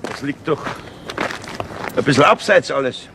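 An actor playing a composed, probing police inspector in a radio crime drama, speaking matter-of-factly. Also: male voice, medium pitch, expressive, a little slow, vocal fry. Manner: matter-of-fact, questioning. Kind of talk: 1970s German radio crime drama acting. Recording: noisy old radio play recording.

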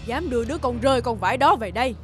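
A woman playing a stern, disapproving elderly village mother with a grumbling, scornful manner in an animated folk tale. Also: a little high, racing. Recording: music behind the voice.

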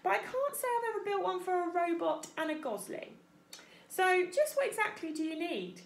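A woman reader acts out a gruff male beaver from a storybook, stubborn and full of bluster. The voice is lively and swooping, with some gravel and a roomy echo around it. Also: very high voice, moderate pace, voice pushed up, full character voice. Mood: grudging, businesslike. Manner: blustering, grudging, advising.

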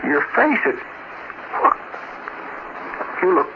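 A man's voice: high voice, sing-song, slow pace, exaggerated voice. Muffled radio-drama everyman, alarmed. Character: suspicious, alarmed ordinary man confronting an impostor. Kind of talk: 1950s radio play acting. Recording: noisy, muffled 1950s radio recording.

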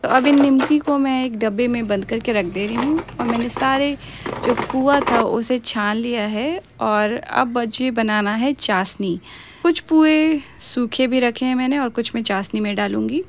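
A woman's voice: fairly high pitch, a little slow, background noise. Chatty young woman narrating her cooking. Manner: chatty, mixes in English words. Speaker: cooking vlogger. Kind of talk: casual voice-over narration of cooking.

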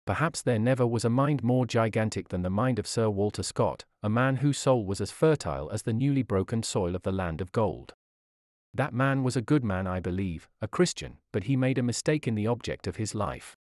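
A man's voice: fairly low pitch, lively intonation, brisk, a little mellow, no room sound, studio quality. A man reading an old-fashioned sermon aloud in a solemn preaching cadence. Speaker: reader of a historical sermon.

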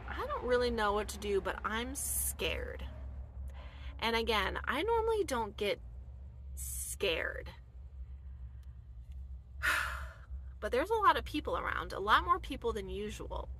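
Chatty bright-voiced American woman. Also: medium pitch. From United States. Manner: chatty, animated, many "like" fillers. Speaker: vlogger. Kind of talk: casual storytime. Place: inside a dark camper van at night.

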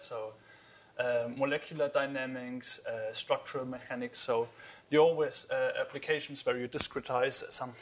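A man's voice: mid-range voice, slight background noise. Man giving a conference talk, hesitant. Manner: many fillers and restarts, earnest explaining. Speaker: conference speaker. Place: lecture hall.

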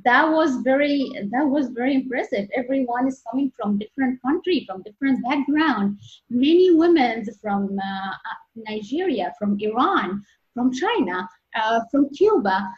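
A woman in her thirties from Egypt with an Egyptian accent, answering interview questions as a medical resident, earnest and halting with many restarts. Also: sits high, lively intonation. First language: Arabic. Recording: dull lo-fi audio.

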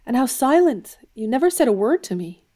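A woman's voice: fairly high pitch, very animated, brisk, warm tone. An actress playing an earnest, self-doubting young woman writer in an audio drama, frank in manner. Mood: frank, affectionate. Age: young adult.

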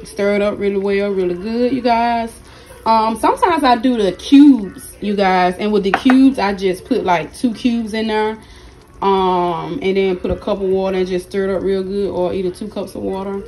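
A woman with a hoarse, partly lost voice, chatting casually to her viewers as she cooks in her home kitchen, recording on a handheld phone. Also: mid-range voice, steady tone, normal speed, darkish tone. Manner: chatty, keeps addressing viewers directly. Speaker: cooking channel host. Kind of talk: casual cooking vlog narration. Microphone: phone held in hand while cooking.

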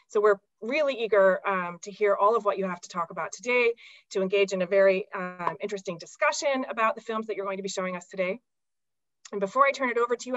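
A woman's voice: mid-range voice, sharp tone, no echo. A woman in her forties introducing a guest speaker on a video call, with many fillers and restarts. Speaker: event host introducing the speaker. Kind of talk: webinar introduction. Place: home office on a video call. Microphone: laptop mic on a video call. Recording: dull, dry video call audio.

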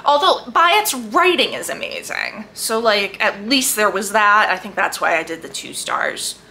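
Woman, animated intonation, bright forceful tone, enthusiastic book vlogger, casual chat, emphatic drawn-out words. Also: mid-range voice, creaky, a little hiss or hum. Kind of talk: casual chat to camera about books.

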